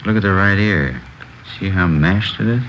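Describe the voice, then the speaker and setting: Very deep, low-key police lieutenant. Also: male voice, moderate pace, fairly bright tone. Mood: explaining. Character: methodical, unhurried male police photo-records lieutenant. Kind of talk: 1950s radio police drama dialogue. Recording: dull, faintly noisy vintage radio recording.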